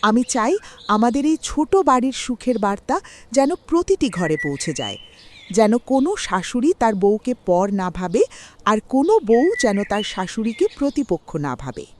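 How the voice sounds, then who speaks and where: Cartoon village mother-in-law, actress: older woman, gentle, sentimental, moralizing, animated pitch, birds and faint noise behind. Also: normal speed. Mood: heartfelt, moralizing. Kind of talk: cartoon voice acting.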